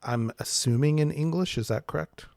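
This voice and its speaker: A man co-hosting a book podcast, asking measured, searching questions. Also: a little high, gravelly, darkish tone, no echo, clean studio sound. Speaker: podcast co-host. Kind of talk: interview questions.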